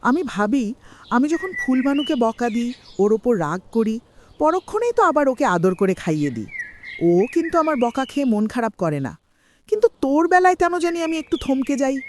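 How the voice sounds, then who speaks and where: Lady doing a gentle older village mother-in-law in a cartoon, preachy and heartfelt, very up and down, faint noise. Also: sits high, very animated, a little fast. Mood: confiding, regretful. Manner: earnest, sentimental, moralizing. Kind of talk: cartoon voice acting.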